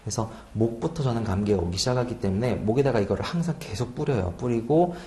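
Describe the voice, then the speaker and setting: A man's voice: mid-range voice, quick pace, warm tone. A man in his twenties, an exam prep lecturer, chatting casually and laughing at himself during a lecture. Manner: chatty, self-deprecating, laughs often. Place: lecture room. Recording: echoey room, faint hum.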